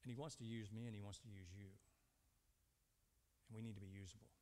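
A man's voice: fairly low pitch, fairly even intonation, quick pace, dark tone. A man in his fifties preaching a sermon emphatically, posing short rhetorical questions and repeating himself. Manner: asks the congregation short rhetorical questions and answers them. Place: indoor church stage at a lectern. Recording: reverberant hall sound.

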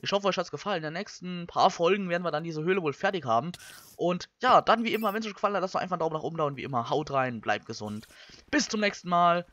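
A young man from Germany, giving casual Let's Play commentary and thinking out loud in colloquial language. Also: high voice, expressive, quick pace. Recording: slightly dull, lo-fi microphone.

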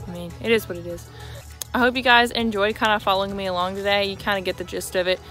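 A woman's voice: mid-range voice, steady tone, fast, fairly bright tone, soft background music. A young woman talking casually to viewers in an informal, rambling way, recorded outdoors on a phone held at arm's length. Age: young adult. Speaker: equestrian vlogger. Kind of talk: casual vlog talk to viewers. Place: outdoors on a horse farm.

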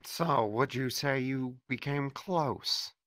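A man playing a calm, sinister male therapist who urges his patient toward cannibalism. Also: mid-range voice, takes it slowly, no room sound. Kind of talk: comedy skit acting.

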